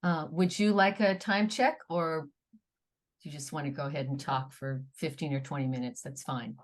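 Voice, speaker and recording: A woman moderating an online forum, brisk and polite, passing the floor to speakers. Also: low-pitched, fairly even intonation.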